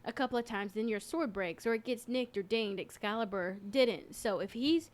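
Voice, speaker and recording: A woman's voice: fairly high pitch, normal speed. A woman co-hosting a podcast, explaining things in an earnest, informal storytelling way. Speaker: podcast co-host. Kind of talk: casual conversational explaining.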